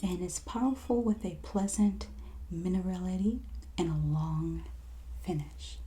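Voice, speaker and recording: A woman speaking softly and breathily, often close to a whisper, gently presenting items as a merchant in an ASMR roleplay, close to the microphone. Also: on the low side. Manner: slow, gentle, unhurried presenting. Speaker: ASMR host playing a wine and spirits merchant. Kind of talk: soft-spoken ASMR roleplay, product showcase.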